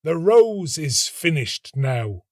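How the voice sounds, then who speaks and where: A man voicing a talking tree in a storybook, urgent and commanding, with slow, drawn-out calls. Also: on the high side, big pitch swings, a little mellow, dry recording, clean studio sound, pitched above the performer's own voice. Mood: excited.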